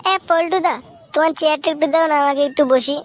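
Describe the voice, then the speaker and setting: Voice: high-pitched, fairly even intonation, fast talker, background noise, lightly acted. A performer voicing a meek, whiny young sidekick in a comic cartoon dub. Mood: pleading. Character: meek, whiny male sidekick of a club boss.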